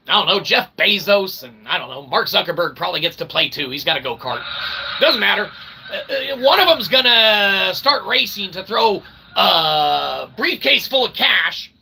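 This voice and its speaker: A man's voice: high-pitched, vocal fry, pushed and bright, slight background noise, poor audio. A man giving a casual, rambling monologue in a folksy, irreverent way, full of slang and frequent check-ins with the listener. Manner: folksy, repeatedly checking in with "okay" and "all right"; irreverent, slangy, mock-grand wording. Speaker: independent researcher and vlogger.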